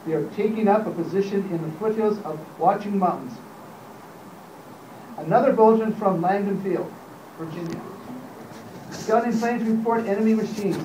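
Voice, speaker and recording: A man's voice: high-pitched, darkish tone, close to an everyday voice. A man playing a formal, urgent radio newsreader in a live radio play, recorded in a roomy space from a distance. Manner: formal, measured reading. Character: urgent radio newsreader reading war bulletins. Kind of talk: 1930s radio drama reading. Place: hotel conference room, live panel reading. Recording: roomy, distant mic, faint noise.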